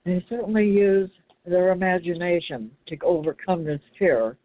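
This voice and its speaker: A woman's voice: on the low side, moderate pace. A woman talking earnestly and conversationally about spiritual ideas, repeating phrases for emphasis and restarting sentences, over a thin phone-like connection. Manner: emphatic repetition, broken restarts. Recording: narrow, tinny phone-line sound.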